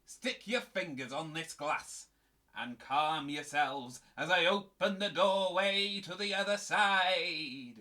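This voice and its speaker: A man's voice: sits high, slow, sharp tone, full character voice. A man playing a blustering, easily flustered fake spirit medium with a grandiose showman's delivery in a comic audio drama. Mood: grandly mystical. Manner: grandiose showman's delivery, stammering when caught out. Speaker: medium leading a seance.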